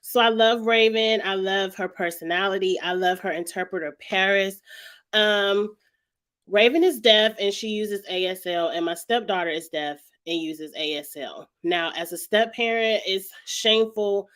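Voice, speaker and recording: Woman, vlog host, casual TV commentary, mid-pitched voice, slightly raspy, congested from a cold, chatty, emphatic. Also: normal speed, fairly bright tone. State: lingering cold with sinus congestion, clearing throat often. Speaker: YouTube show host reviewing reality TV.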